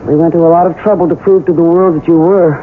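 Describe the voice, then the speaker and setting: A man's voice: fairly high pitch, fairly even intonation, fast, phone-quality sound, lightly acted. A man playing a dry, sceptical, needling accomplice in a radio drama, wry and questioning. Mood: matter-of-fact. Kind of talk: 1980s radio drama acting. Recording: thin, hissy old radio broadcast.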